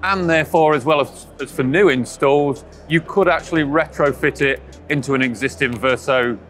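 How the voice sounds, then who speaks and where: A man's voice: on the high side, moderate pace, a bit bright, soft background music. A man in his forties interviewing about a product, speaking emphatically and recapping key points. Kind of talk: product interview at a trade show. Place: busy trade show exhibition hall.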